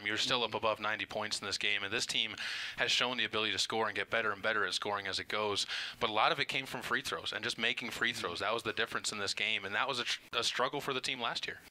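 A man's voice: lowish voice, quick pace, bright, forceful tone, low-quality recording. A man working as a sports interviewer, asking post-game questions. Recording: faint background noise.

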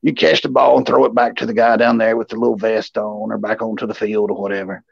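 A man in his forties with a gravelly voice, telling rambling, folksy, salty anecdotes as a show co-host. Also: on the low side, level tone, fast, dry recording. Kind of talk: casual anecdotal storytelling. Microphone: remote livestream call. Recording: dull, muffled online stream audio.

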